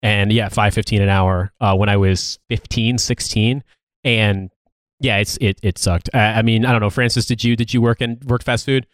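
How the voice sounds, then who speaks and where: Swearing, animated man on a podcast. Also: on the low side, moderate pace, no room sound. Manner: profane, animated storytelling. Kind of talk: casual podcast chat.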